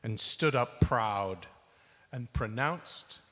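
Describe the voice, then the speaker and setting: A man gives a dramatic spoken-word storytelling performance, theatrical, with deliberate pauses between short phrases. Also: mid-range voice, lively intonation, a bit bright. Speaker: cast member in a dramatic reading. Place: large synagogue hall. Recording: reverberant large hall.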